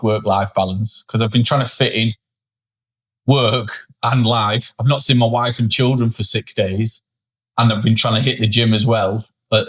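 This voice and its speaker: Man, low voice, tired and blunt, casual podcast co-host who swears and mocks himself. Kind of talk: casual chat. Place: indoor studio setup, seated.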